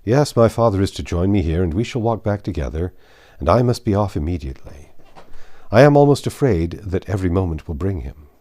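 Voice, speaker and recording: A man reads the part of a young gentleman suitor from a classic novel, earnest and easily embarrassed. His voice is husky and swoops expressively as he speaks, halting and courteous. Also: low-pitched, lively intonation. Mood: flustered, apologetic. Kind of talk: dramatised audiobook reading of a classic novel.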